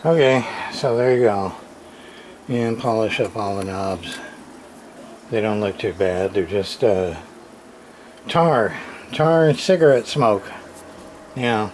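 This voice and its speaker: A man giving a casual hands-on repair demonstration, muttering to himself in halting short phrases with plain, folksy, mildly salty wording. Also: lowish voice, darkish tone. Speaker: radio repairer, channel host. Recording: clearly noisy room recording, background ambience.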